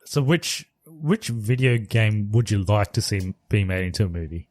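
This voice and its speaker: A man co-hosting a podcast in casual chat, relaxed and often laughing as he steers the talk. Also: on the low side, moderate pace, dry recording, clean studio sound. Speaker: podcast co-host.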